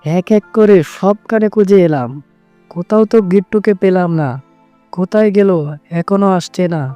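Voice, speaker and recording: A man's voice: sits high, normal speed, warm tone, clean tone, faint music behind, close to an everyday voice. A man voicing a worried, irritable father fox in a children's cartoon.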